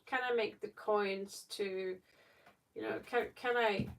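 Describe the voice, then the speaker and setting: A woman talks slowly through a casual tarot livestream, with plenty of fry in her voice. She rambles and thinks aloud as she reads. The microphone sounds some way off from her. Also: medium pitch, fairly even intonation. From Norway. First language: Norwegian. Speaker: tarot reader, livestream host. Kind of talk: casual live tarot reading, chatting. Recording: distant microphone.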